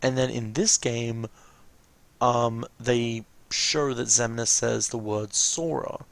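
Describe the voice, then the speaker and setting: A man with a creaky voice giving casual, rambling, hesitant reaction commentary as host of a watch-along. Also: medium pitch, steady tone, slow pace, dry recording.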